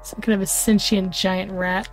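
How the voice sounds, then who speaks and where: A woman's voice: mid-range voice, little pitch movement, normal speed, soft background music. A woman streamer giving casual commentary on a horror game, muttering short, wary reactions to herself.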